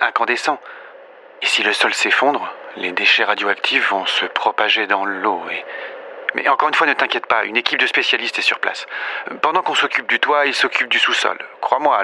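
Audio drama: proud rescue worker reassuring family, hesitant pauses, lowish forceful voice. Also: male voice, a little hiss or hum. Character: Igor, reassuring, proud male rescue worker at nuclear disaster.

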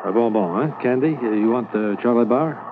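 An actor playing a kindly, hopeful constable who speaks halting English mixed with words, in a 1950s radio drama. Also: male voice, mid-range voice, lightly acted. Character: French-Canadian Mountie constable, kindly, hopeful. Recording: tinny old radio transcription, hiss.

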